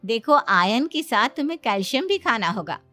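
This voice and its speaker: A woman's voice: a little high, big pitch swings, moderate pace, pushed and bright, soft background music. A woman voicing a friendly, encouraging lady doctor chatting with a family in an acted comedy story. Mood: pleased, advising.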